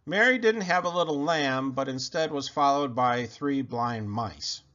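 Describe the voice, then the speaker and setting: A man narrating a children's picture book aloud in an expressive storytelling style. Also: mid-range voice, measured pace. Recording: dull lo-fi recording.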